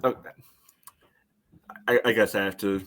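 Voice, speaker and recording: A man co-hosting a sports podcast, chatting casually with halting phrasing full of filler words. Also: on the low side, fairly even intonation, a bit bright. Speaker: podcast co-host.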